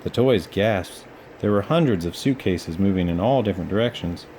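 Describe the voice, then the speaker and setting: A man's voice: low voice, moderate pace, dark tone, a little hiss or hum. A man narrating a children's storybook as a read-aloud storyteller.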